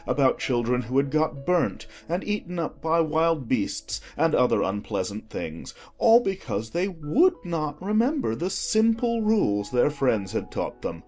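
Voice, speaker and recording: A man narrating a children's storybook from a script in an expressive style, as an audiobook reader. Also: a little high, unhurried, few pauses, faint music behind.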